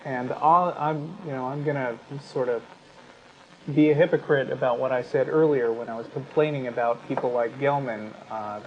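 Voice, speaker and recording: A man with a medium-pitched voice discusses ideas as a talk show co-host. He explains things in a measured, analytical way. The audio is a little dull, with faint noise behind him.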